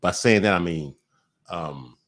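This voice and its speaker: Deep-voiced man in halting casual talk. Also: a bit bright. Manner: halting, many restarts and fillers, emphatic bursts. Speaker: podcast host. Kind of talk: casual conversation.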